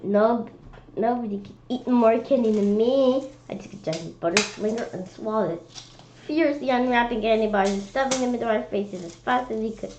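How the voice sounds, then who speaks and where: A woman reading a children's story aloud in an expressive, animated way, occasionally stumbling over words. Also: on the high side, normal speed. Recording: dull lo-fi sound with clear background noise.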